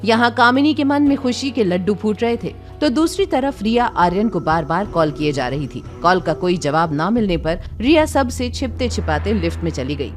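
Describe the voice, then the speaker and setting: A woman narrates a serial drama in a scripted, dramatic style. Her voice is of medium pitch, and music is clearly present behind her. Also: expressive, normal speed, hardly any pauses.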